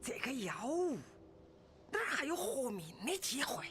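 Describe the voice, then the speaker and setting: An actress voicing a rustic, doting, superstitious mother in a video game, with a Sichuanese accent and a folksy storytelling manner. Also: sits high. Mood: gossipy, eerie. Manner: folksy, drawn-out, expressive. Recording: game music behind the voice.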